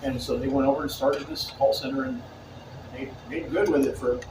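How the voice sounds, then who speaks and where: A man's voice: medium pitch, fairly even intonation, a little fast. Laid back guy telling a story, sounds far away, noisy room. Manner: easygoing, chatty storyteller. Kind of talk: casual chat. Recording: roomy, distant and noisy.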